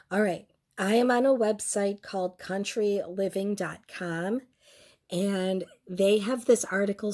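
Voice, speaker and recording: Sick young woman barely talking, voice mostly gone, slow drawn-out snarky commentary, swears a lot. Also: mid-range voice, darkish tone. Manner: crude, sardonic, slow and drawn out. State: sick, nearly lost voice. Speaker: host. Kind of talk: casual commentary on photos.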